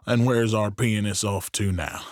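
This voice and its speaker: A man playing a shrewd, protective Old West saloon owner in an audio drama, measured and knowing. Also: on the low side, fairly even intonation, dry recording, clean studio sound, lightly acted. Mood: stern.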